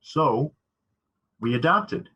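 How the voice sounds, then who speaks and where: A man with a slightly husky voice giving an earnest, scripted introduction as a theatre director. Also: on the high side, animated, extremely slow, pushed and bright, highs cut off.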